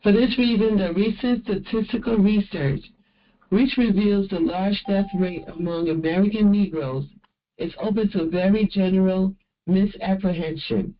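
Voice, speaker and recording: A speaker reading an old academic text aloud in a formal, measured way. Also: mid-range voice. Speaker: reader of a historical text. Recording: dull lo-fi audio.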